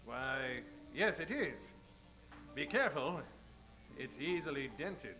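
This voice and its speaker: An actor playing a suave, fussy Hollywood detective in an improvised old-time radio comedy. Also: male voice, a little high, lively intonation, slow pace. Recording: roomy stage sound, music behind.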